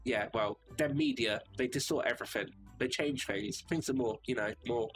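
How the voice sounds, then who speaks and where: A man voicing a shaken male cab passenger who speaks slowly and halts while searching for words, over game music. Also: medium pitch, close to an everyday voice. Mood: halting. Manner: halting, slow, groping for words.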